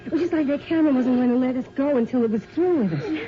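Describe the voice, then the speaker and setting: An actress playing a shaken but caring young model in a 1980s radio drama. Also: female voice, sits high, fast, lightly acted. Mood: reproachful. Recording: muffled old radio recording, music underneath.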